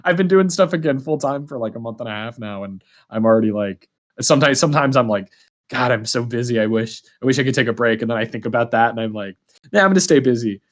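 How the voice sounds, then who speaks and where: A man's voice: mid-range voice, expressive, fast talker. A young man hosting a podcast in casual conversation, informal and rambling, often restarting his sentences. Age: young adult. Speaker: podcast host. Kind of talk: casual chat.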